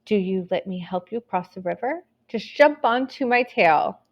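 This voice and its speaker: A woman's voice: mid-range voice, expressive, dull audio. A woman voicing a devious male fox in a storybook, coaxing with false sweetness. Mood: sly, coaxing. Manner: wheedling, drawn-out coaxing. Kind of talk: storybook read-aloud.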